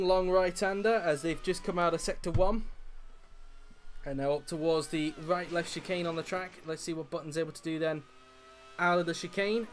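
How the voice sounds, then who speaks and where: A man with a croaky voice, giving steady play-by-play commentary on a sim racing qualifying session. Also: high voice. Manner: steady lap-by-lap play-by-play with frequent "uh" fillers.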